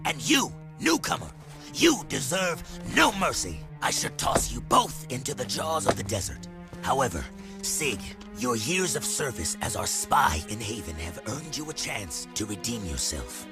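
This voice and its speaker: An imperious older ruler in a video game. His middle-pitched voice swings dramatically as he declaims commands, with music behind. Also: very animated, a little slow. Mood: outraged. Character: stern, imperious older male desert ruler.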